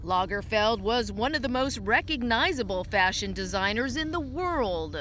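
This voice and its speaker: A woman's voice: on the high side, animated, normal speed, fairly bright tone, a little hiss or hum. A woman reporter narrating a scripted news feature.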